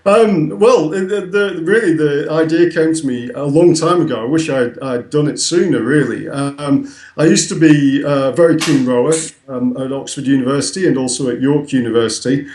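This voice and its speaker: A man's voice: a little high, normal speed, darkish tone. Northern English man, echoey room. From north of England. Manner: hesitant, many ums and restarts. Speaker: guest, website co-founder. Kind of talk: casual interview chat.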